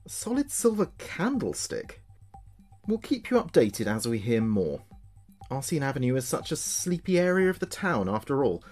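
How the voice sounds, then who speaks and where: A man playing a chatty late-night local radio DJ, with a measured presenter's delivery. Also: medium pitch, very animated, darkish tone, soft background music. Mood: presenting, chatty. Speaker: radio host. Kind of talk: scripted late-night radio show narration.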